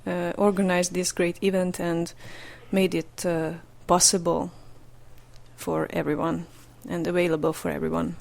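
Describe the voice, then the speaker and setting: A man's voice: sits high, flat delivery, measured pace, creaky. A man with a Hungarian accent hosting a podcast interview, speaking hesitantly with many fillers. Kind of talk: casual interview. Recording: reverberant room with faint noise.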